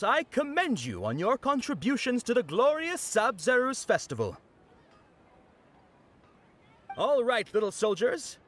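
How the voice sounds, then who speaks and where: An actor voicing a jolly festival knight in a video game, given to theatrical proclamations and friendly patter for children. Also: male voice, high voice, fairly bright tone. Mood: mock-commanding.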